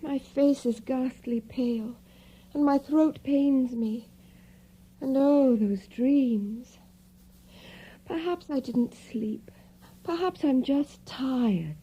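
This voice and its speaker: An actress playing a frail, anxious young gentlewoman in an audio drama, with a breathy, hesitant, languid delivery. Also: sits high, slow, long pauses, exaggerated voice. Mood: weary worry. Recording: muffled, dull recording.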